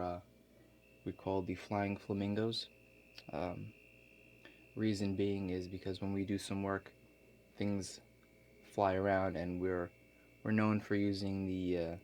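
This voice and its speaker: Guy acting as a chill contractor dude, low voice, halting matter of fact asmr roleplay, some noise behind him. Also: darkish tone. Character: Chuck, a laid-back male construction contractor. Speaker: ASMR performer playing a contractor. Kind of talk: ASMR role play.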